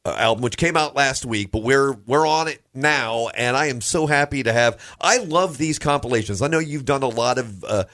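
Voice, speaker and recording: A man's voice: medium pitch, normal speed, few pauses, fairly bright tone, no echo, clean studio sound. A man hosting a morning rock radio show, enthusiastic and full of hype as he interviews his co-host. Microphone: studio microphone.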